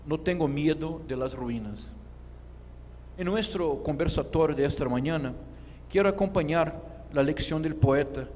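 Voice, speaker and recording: Male conference speaker, Brazilian Portuguese native with that accent, higher-set voice, measured formal reading in a long-reverb hall. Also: a little mellow. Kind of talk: formal lecture read from a text. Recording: echoey hall with clear background noise.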